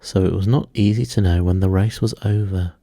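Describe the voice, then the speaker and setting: A man reading a bedtime story in a slow, soothing, measured and hushed way. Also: sits low, steady tone, no echo, clean studio sound. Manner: measured, hushed, gently paced delivery. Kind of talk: slow, soothing scripted storybook narration for sleep.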